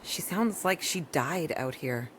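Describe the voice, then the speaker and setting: Intense, wary woman doctor, animated delivery. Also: low-pitched, slow pace, a little hiss or hum, full character voice. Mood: wary, tense. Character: rural woman doctor, wary, intense, driven to uncover truth. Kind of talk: audio drama acting.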